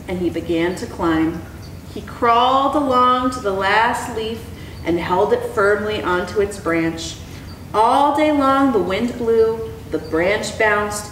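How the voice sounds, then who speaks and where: A young woman reading a children's storybook aloud in an expressive, educator's style. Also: mid-range voice, takes it slowly, a little hiss or hum. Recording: echoey room, clear background ambience.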